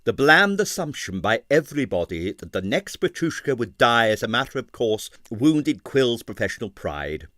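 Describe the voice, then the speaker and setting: A man reading an abridged novel aloud with expressive narration. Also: mid-range voice, moderate pace, few pauses, no room sound, studio quality. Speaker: audiobook reader.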